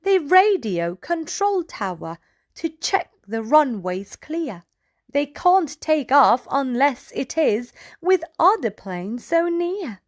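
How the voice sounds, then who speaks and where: Woman, children's read-aloud host, unhurried pace, animated intonation, playful storybook delivery. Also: high voice. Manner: addresses small children, playful sound effects.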